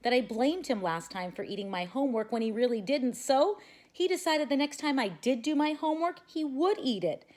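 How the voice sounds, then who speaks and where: A woman's voice: high voice, expressive, fairly quick, darkish tone, pitched above the performer's own voice. A woman voicing an anxious young schoolboy who pleads earnestly. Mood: pleading.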